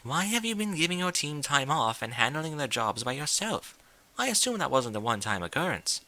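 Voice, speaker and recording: A dainty, ladylike storybook pony, acted out by a man, speaking in a high, swooping voice with formal, theatrical phrasing. She is refined and gently prodding, with a bright tone. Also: big pitch swings, brisk, exaggerated voice. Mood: concerned, careful. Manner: genteel, formal, theatrical diction.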